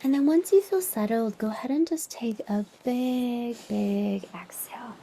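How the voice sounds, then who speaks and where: A woman leading a guided meditation as a teacher, soothing and unhurried, with long pauses and breathy phrase endings that drop toward a whisper. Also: fairly high pitch, mellow, rough recording. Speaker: meditation teacher and podcast host.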